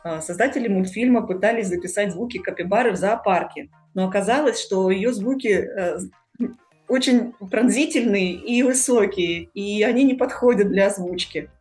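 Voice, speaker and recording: A woman's voice: medium pitch, normal speed, a little mellow. A woman hosting a podcast, talking casually with her co-hosts. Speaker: podcast host. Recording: music in the background. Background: music.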